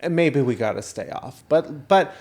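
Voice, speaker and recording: A man's voice: medium pitch, expressive, normal speed, a little mellow. A man co-hosting a casual comedy podcast, chatting with tongue-in-cheek, mock-grand pronouncements. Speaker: podcast co-host.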